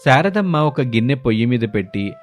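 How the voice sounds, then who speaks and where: A man tells a scripted moral folk tale in a mid-pitched voice, with music playing softly behind him. He delivers it as a storyteller narrating events in order. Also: big pitch swings, brisk.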